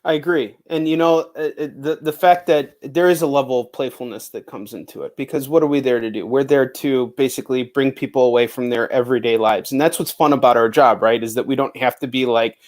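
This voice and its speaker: A man hosts a relaxed interview, speaking earnestly and agreeing warmly with his guest. He restarts often and leans on fillers, with a voice at an ordinary middle pitch. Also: fairly quick, hardly any pauses. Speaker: host. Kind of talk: casual interview chat.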